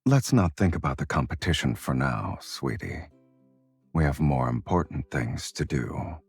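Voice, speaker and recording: An actor playing a nonchalant, teasing man in a romance game, speaking in an unhurried, suave way. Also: animated, no room sound, soft background music, full character voice. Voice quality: husky, very deep. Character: nonchalant, teasing man, protagonist's traveling companion.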